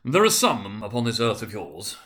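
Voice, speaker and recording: A man's voice: fairly low pitch, huge pitch swings, moderate pace, fairly bright tone. A man voicing a gigantic, hearty spirit in a storybook, speaking with solemn, emphatic indignation. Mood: stern, indignant. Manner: emphatic, declamatory.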